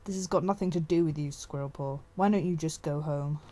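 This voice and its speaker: A woman's voice: low-pitched, brisk, warm tone. A woman voicing a stern young male warrior cat in an audiobook, firm and impatient. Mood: exasperated.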